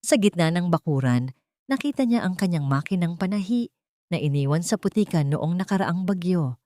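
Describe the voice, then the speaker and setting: A woman's voice: on the low side, lively intonation, moderate pace, warm tone, no room sound, studio quality. A Filipino woman reading a scripted dramatic story as its narrator.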